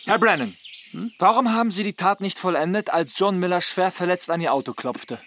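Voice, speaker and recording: A formal police interrogator in a radio crime drama. His voice is high for a man and a touch hesitant, with faint noise behind it. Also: fairly quick, fairly bright tone. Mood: questioning. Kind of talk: German crime radio play acting.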